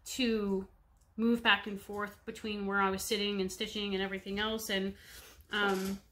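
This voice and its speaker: A woman's voice: medium pitch, flat delivery, moderate pace, fairly bright tone, slight background noise. Stuffy-nosed woman chatting about stitching. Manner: rambling, many "um"s, chatty and friendly to viewers. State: congested, stuffy nose. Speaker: cross-stitch vlogger. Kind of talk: casual show-and-tell chat. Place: home room with bookshelves. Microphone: webcam at desk distance.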